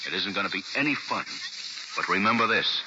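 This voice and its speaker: Male actor as a fatherly middle-aged prosecutor: low voice, steady, old lo-fi radio with hiss. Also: natural voice. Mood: firm. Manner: steady, reassuring, measured. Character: calm, paternal middle-aged assistant district attorney. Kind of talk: 1940s radio acting. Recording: old 1940s radio broadcast, hissy and dull.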